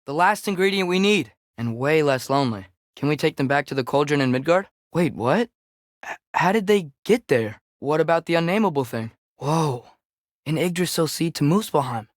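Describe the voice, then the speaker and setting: A man's voice: a little high, lively intonation, moderate pace, no room sound, lightly acted. Young man as teenage boy, eager, earnest, curious, video game companion, dry studio sound. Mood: surprised. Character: eager, earnest teenage boy fighting beside his father.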